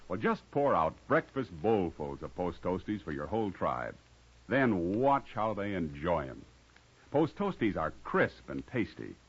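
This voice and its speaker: A man's voice: low voice, slightly rough. A man delivering a folksy, emphatic 1950s radio commercial as announcer. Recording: muffled old radio recording.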